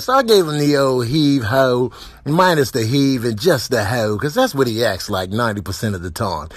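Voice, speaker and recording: Man as a low-voiced philosophizing animal bully. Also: no echo. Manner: rambling, emphatic.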